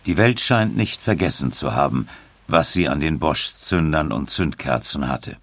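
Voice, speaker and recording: A man's voice: very deep voice, lively intonation, unhurried. A man narrating a scripted documentary as one of its narrators.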